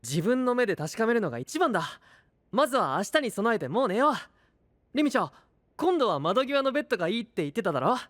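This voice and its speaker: A man's voice: high-pitched, very animated, fast talker, fairly bright tone, no room sound, clean studio sound. A man playing a kind, dependable young knight leader in an audio drama, speaking sincerely. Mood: steady. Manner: sincere, clear, encouraging. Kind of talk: anime-style audio drama acting.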